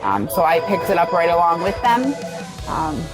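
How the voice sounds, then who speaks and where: A woman's voice: lowish voice, big pitch swings, normal speed, rough recording. A middle-aged woman chatting casually as an interview guest. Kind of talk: casual chat. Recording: loud music playing behind. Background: music, in a bowling alley.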